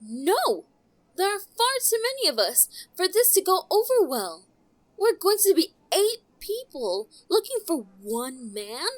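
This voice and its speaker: A headstrong young adventurer in a fantasy audio drama, acted by a woman. The voice is high and very animated. The delivery is outspoken and indignant. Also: measured pace, full character voice. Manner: emphatic, indignant.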